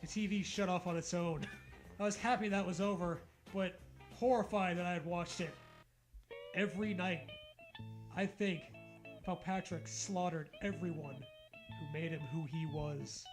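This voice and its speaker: Casting: male comedy reader, high voice, mock-serious delivery with joking asides, soft music behind. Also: steady tone, moderate pace, poor audio. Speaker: co-host reading a fan fiction aloud. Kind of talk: comedic dramatic reading with asides.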